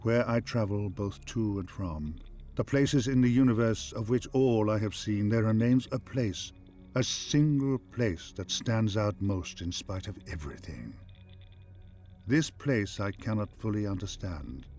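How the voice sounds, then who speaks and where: A man playing a calm, wise, once-human artificial intelligence for a game, speaking in measured, formal phrases. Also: on the low side, faint music behind. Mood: solemn, reflective. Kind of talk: scripted game companion voice acting.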